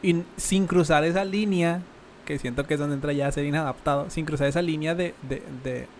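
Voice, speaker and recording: Young man, Mexican accent, podcast co-host, slightly high pitch, relaxed slangy talk, faint background noise. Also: fairly quick. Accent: Mexican Spanish. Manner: relaxed, slangy, lots of filler words. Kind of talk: casual podcast chat.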